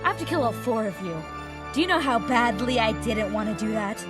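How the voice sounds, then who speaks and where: Woman acting a high, volatile young mage villain. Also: animated, brisk, over music, lightly acted. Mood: menacing, aggrieved. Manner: emotional, volatile. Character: unstable young female mage villain, bitter and murderous. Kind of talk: audio drama acting.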